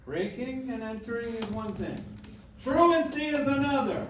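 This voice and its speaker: A distant, roomy stage recording of a man acting as a wry old grandfather. His voice is high for a man, and he scolds in a folksy, blunt way, protective underneath. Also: lively intonation, unhurried, fairly bright tone, a little hiss or hum, heavily acted. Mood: wry, firm. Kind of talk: staged reading of a musical. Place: echoey room, staged reading. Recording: distant echoey stage mic, piano behind.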